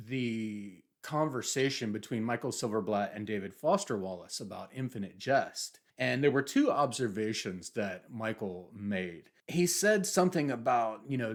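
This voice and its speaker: A man reviewing a novel for an online book channel, thinking aloud at an unhurried pace. His voice sits at a middle pitch, and he muses over ideas as he talks them through. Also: lively intonation. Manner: thoughtful, musing, reads passages aloud.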